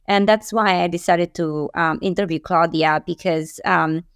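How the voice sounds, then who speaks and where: A woman's voice: lowish voice, steady tone, a little slow, clean tone, no echo, clean studio sound. A woman from Romania hosting a casual interview, bubbly and emphatic, laughing often and building lists of words. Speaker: podcast host.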